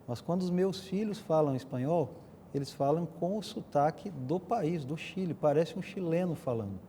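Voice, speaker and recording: Older-ish brazilian guy chatting on a panel, mellow voice, bit of background noise. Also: fairly high pitch, animated, moderate pace. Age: middle-aged or older. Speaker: guest panelist, pastor. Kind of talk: conversational storytelling in a discussion.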